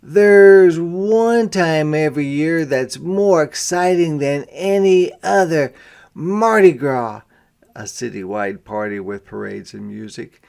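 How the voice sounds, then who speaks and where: A man reading a picture book aloud to young children as a teacher, phrasing deliberately and pausing to explain words simply. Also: a little high, vocal fry, darkish tone, clear, resonant tone. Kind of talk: interactive children's read-aloud with explanatory asides.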